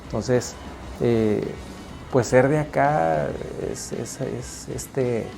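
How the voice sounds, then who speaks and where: A man's voice: medium pitch, extremely slow, gravelly, dark tone, faint music behind. A man with a Sonoran Mexican accent, speaking hesitantly and repeating words in an interview. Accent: Sonoran Mexican Spanish. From Mexico, Cocorit, Sonora.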